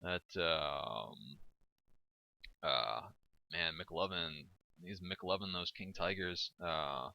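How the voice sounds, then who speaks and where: A man casting a strategy game replay in a chatty, halting way, laughing often, through a home microphone. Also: low-pitched, creaky, sharp tone, muffled recording. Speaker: game caster. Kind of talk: casual strategy game commentary.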